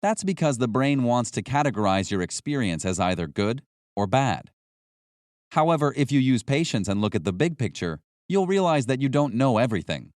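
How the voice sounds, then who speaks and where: A man narrates a nonfiction audiobook in a measured, instructional way. His voice sits at a medium pitch and rises and falls widely through each phrase. The sound is dry, with no room echo. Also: big pitch swings, a little mellow.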